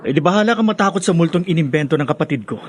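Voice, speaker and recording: A man's voice: fairly high pitch, animated, very fast, background noise, close to an everyday voice. An actor playing an aggrieved, burdened man defending himself dramatically in a Filipino radio drama. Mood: hurt, defensive.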